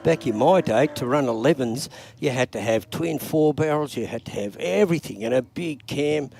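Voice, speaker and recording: An older man, co-commentating drag races in a relaxed, knowledgeable way. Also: mid-range voice, normal speed, gravelly, slight background noise.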